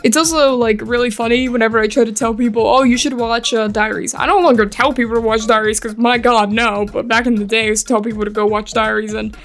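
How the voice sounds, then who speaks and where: A woman's voice: fairly high pitch, steady tone, quick pace, hardly any pauses. A young woman with an American accent giving casual, chatty commentary in a rambling, self-deprecating, giggly way. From England and Eastern Europe, later New York. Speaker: art YouTuber. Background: background music.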